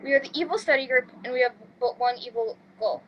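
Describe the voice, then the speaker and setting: Casting: female reader as an earnest young male oddball playing evil, fairly high voice. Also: quick pace, lo-fi sound, slight background noise, lightly acted. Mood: solemnly scheming. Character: earnest odd young man turned solemn would-be villain. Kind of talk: amateur sitcom table read.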